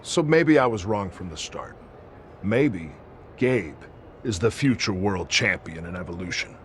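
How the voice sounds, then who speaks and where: A man's voice: low-pitched, expressive, unhurried, slight background noise. A man playing a scornful, commanding veteran wrestler who leads a faction in a video game. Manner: commanding, cutting. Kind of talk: video game cutscene acting.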